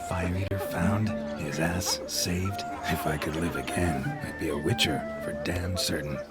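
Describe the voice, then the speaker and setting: An actor playing a roguish, boastful reveler in a fantasy video game, with a jaunty, old-fashioned way of talking. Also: male voice, sits low, steady tone, unhurried. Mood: gleeful. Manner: jaunty, swaggering, old-fashioned turns of phrase. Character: roguish, boastful reveler living again in a monster hunter's body. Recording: game dialogue under loud music.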